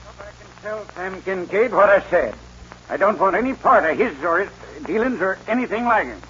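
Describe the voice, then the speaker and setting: An actor playing a defiant, honest homesteader in a 1940s radio western, talking bluntly and firmly. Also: male voice, sits high, expressive, moderate pace, sharp tone. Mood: defiant, stern. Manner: firm, blunt Western drawl. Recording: dull, faintly noisy 1940s radio recording.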